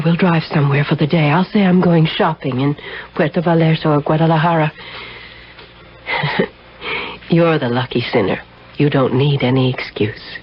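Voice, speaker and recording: Actress as arch, sardonic temptress, muffled radio. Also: female voice, sits low, close to an everyday voice. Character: worldly, sardonic married American woman, seductive and guarded. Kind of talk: 1970s radio drama acting. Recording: dull, noisy 1970s radio broadcast.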